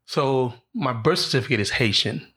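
A man's voice: mid-range voice, moderate pace, slightly rough. A man in his late thirties from the Bahamas, telling funny personal stories as a guest in a casual chat, laughing often. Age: 30s. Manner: animated storyteller, laughs often. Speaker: podcast guest. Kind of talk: casual storytelling interview.

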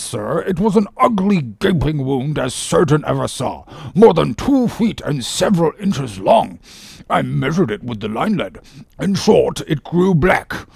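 A solemn, self-important old-time ship's surgeon as a male narrator plays him, pitched high and swinging in tone. He speaks with deliberate, formal gravity and a dry wit, as in a seafaring storybook. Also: gravelly, breathy edge, dry recording, exaggerated voice. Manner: imperturbable, formal, long-winded, deliberate. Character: pompous, dryly joking English ship's surgeon, solemn rogue.

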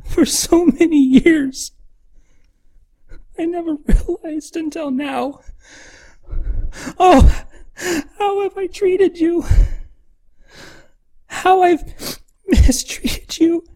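Repentant weeping woman, acted by a woman, high mellow voice, very slow, halting and pleading. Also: exaggerated voice. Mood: remorseful. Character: remorseful woman weeping before God. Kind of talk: devotional audio drama.

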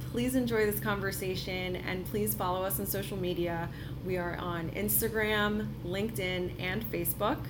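An enthusiastic woman hosts a podcast and interviews a guest in a lively, upbeat way. She laughs easily. Steady noise runs under her voice. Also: medium pitch, moderate pace. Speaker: podcast host. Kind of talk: scripted intro then casual interview. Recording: noisy background.

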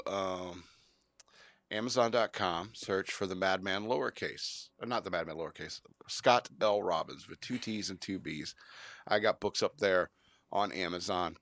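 A man's voice: deep voice, a bit bright, no echo, clean studio sound. A man hosting a podcast, rambling and joking in casual banter. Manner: rambling, jokey, self-promoting. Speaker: podcast host.

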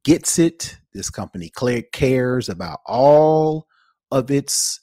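A man co-hosting a podcast, giving his opinions casually in an emphatic, halting way. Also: medium pitch, lively intonation, dark tone, no room sound, clean studio sound. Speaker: podcast co-host.

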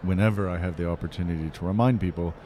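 Low-voiced, earnest male curator. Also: quick pace, warm tone, a little hiss or hum. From Pennsylvania, USA. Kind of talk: interview answers.

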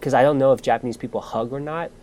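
A man's voice: mid-range voice, fairly even intonation, fast, a crowd in the background. Chatty man telling a story. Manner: chatty, restarts sentences often. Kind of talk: casual storytelling chat.

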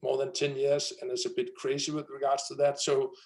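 A man in his thirties with a Danish accent, a company founder telling his story in an interview, energetic and full of fillers and restarts. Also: medium pitch, fairly quick. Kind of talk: conversational interview.

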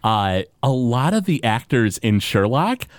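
Casting note: teasing male podcast co-host, lively up-and-down pitch, dry close mic. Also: a little slow, dry recording. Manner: playful teasing, lots of fillers and restarts. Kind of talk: casual chat.

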